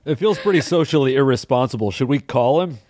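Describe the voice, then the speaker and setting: A casual, slightly defensive adventurer, played by a man trades improvised lines on a comedy podcast. His voice is middling in pitch, with a dry, close sound. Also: expressive, fast, a little mellow, no echo, lightly acted. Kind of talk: improvised in-character comedy banter.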